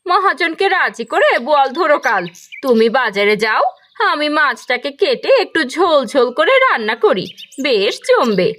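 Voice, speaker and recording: A woman voicing a stingy, sharp-tongued village wife and mother in a cartoon, with a theatrical delivery. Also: high voice, sing-song, moderate pace. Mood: pleased. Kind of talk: cartoon dialogue acting.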